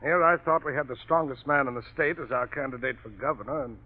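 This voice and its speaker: A man's voice: medium pitch, fast, natural voice. An actor in a 1940s radio drama playing a fretful, proper political committee man, on a lo-fi old broadcast.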